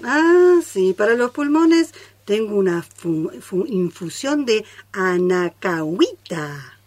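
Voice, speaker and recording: A woman's voice: medium pitch, very animated, slow pace, darkish tone. A woman playing an obliging tea shop seller in a comic radio play. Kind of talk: comic radio theatre acting.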